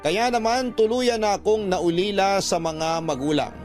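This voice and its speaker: A man's voice: sits high, normal speed, a little mellow. A man reading a listener's confession as scripted narration for a radio drama storytelling show. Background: faint music.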